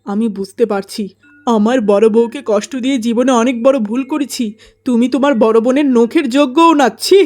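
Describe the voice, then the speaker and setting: A woman's voice: fairly high pitch, a little fast, a little mellow, close to an everyday voice. A woman playing a troubled merchant husband in a melodramatic cartoon story, earnest, aggrieved and regretful. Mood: regretful, disgusted.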